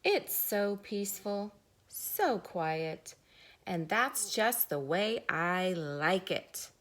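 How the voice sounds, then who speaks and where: A woman voicing a grumpy male duck who fiercely guards his quiet pond, in an emphatic storybook read-aloud. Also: medium pitch, expressive, very slow. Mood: contented. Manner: emphatic, indignant exclaiming.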